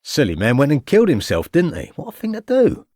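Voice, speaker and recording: A man's voice: fairly high pitch, huge pitch swings, fairly quick, no room sound, studio quality, pitched above the performer's own voice, full character voice. A man voicing a cornered male con man who drops a fake French accent for a Cockney one, pleading and sly. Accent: fake French, then Cockney. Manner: pleading, rising to desperate shouts.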